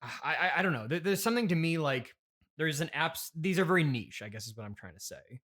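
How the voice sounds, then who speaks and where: A man's voice: fairly high pitch, big pitch swings, fairly quick, a bit bright. A man hosting a casual improvised comedy interview, stammering and hesitant, with a politely incredulous manner. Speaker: podcast host interviewing a guest.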